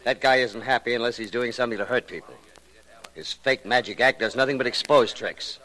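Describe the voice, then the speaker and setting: Actor as an intense, brooding suitor, medium pitch. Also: male voice, brisk, sharp tone, lightly acted, rough recording. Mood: bitter, brooding. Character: earnest, jealous male suitor with brooding menace. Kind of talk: 1940s radio melodrama acting.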